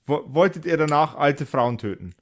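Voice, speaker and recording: A man's voice: on the high side, expressive, normal speed. A man co-hosting a podcast with casual banter, addressing the listeners. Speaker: podcast co-host.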